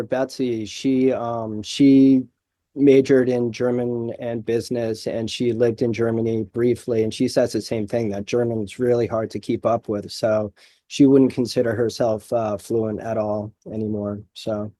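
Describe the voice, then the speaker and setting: Curious male interviewer, mellow voice. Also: mid-range voice, dry recording. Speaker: podcast host. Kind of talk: interviewer asking questions.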